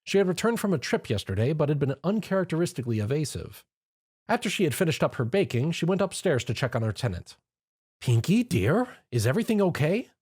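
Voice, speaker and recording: A man narrating a fan story in a lively storytelling manner. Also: a little high, fast, breathy edge. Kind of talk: fan fiction reading.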